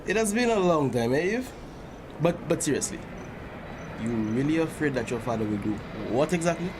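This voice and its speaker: A man acting as a kindly priest in a drama, counselling in a gentle, reassuring, fatherly way. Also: a little high, normal speed, close to an everyday voice. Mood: friendly. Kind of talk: dramatic acted dialogue. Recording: noisy location sound with steady ambience.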